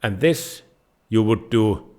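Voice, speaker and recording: A man with a Finnish accent hosting his own channel, explaining money basics to viewers in a halting way, pausing and restarting his sentences. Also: fairly low pitch, animated, darkish tone. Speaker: host of a personal finance channel. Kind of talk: unscripted explainer talking to viewers.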